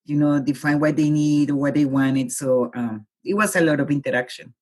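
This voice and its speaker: A Colombian-born woman in her forties, a guest with a husky voice, talking reflectively in a casual interview and laughing easily. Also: low voice, fairly even intonation, moderate pace, a little mellow.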